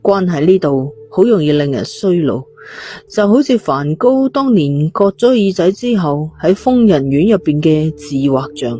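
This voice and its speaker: A woman's voice: low-pitched, big pitch swings, measured pace, warm tone, soft background music. A woman reading a scripted story as an audiobook narrator.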